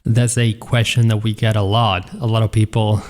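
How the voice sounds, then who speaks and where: A man hosting a talk show, giving measured, explanatory answers as an advisor. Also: lowish voice, level tone, darkish tone. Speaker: citizenship advisor host.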